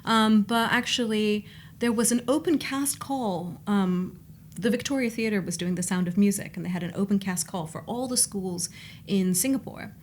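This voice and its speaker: A woman's voice: medium pitch, moderate pace, a little mellow, a little hiss or hum. A young woman with a hybrid American and British accent, chatting as an interview guest with frequent ums. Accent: mixed American and British. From Japan and abroad. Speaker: guest, theatre director. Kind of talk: casual interview conversation.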